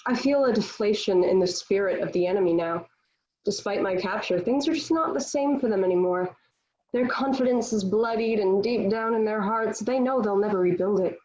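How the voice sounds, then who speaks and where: A woman's voice: mid-range voice, normal speed, a little mellow, lo-fi sound, lightly acted. A woman playing a defiant, devout young warrior held prisoner, speaking earnestly and declaiming in a table read of a historical play. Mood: proud, resolute.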